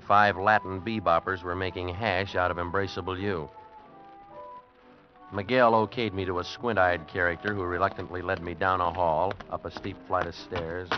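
Actor as a low-voiced hard-boiled American fugitive. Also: male voice, lightly acted. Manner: clipped, wry, tough. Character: hard-boiled American fugitive man narrating his own adventure. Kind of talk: 1950s radio drama acting and narration. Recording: dull vintage radio recording with music.

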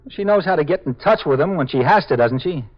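An actor playing a brusque, no-nonsense police sergeant in a 1950s radio drama, curt and commanding. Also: male voice, a little high, expressive, fast talker. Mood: probing. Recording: dull 1950s radio broadcast recording.

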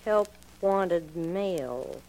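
A woman's voice: lowish voice, expressive, very slow, dark tone, a little hiss or hum, low-quality recording. An actress playing a crook's needy girlfriend in a late 1940s radio drama, slangy, wheedling and petulant, on an old recording. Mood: urgent.

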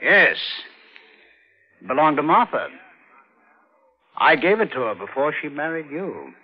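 A man's voice: fairly high pitch, very animated, unhurried, slightly rough, exaggerated voice. An actor playing a stern but uneasy lawman in 1940s radio style, insistent and earnest. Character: stern male lawman confronting a killer, uneasy underneath. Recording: dull vintage radio recording.

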